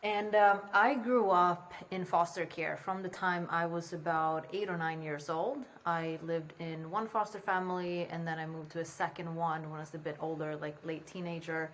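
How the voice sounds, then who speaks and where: A woman's voice: lowish voice, fairly even intonation, moderate pace, few pauses, a bit bright, slight background noise. A young woman who grew up in Sweden, a podcast guest talking casually and candidly, swearing freely, calling in remotely. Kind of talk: casual interview conversation. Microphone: remote call from home.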